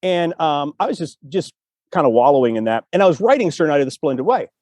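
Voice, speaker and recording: A man's voice: on the high side, very animated, fast talker, darkish tone, no echo. A man hosting a casual conversation, telling personal stories in an earnest, emotive way. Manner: earnest and emotive, acts out remembered dialogue. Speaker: podcast host and audio drama writer.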